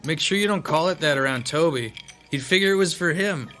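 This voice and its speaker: A man's voice: on the high side, brisk, sharp tone, faint music behind. A man playing Phil, a curious new theater crew member who keeps asking questions. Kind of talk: radio drama acting.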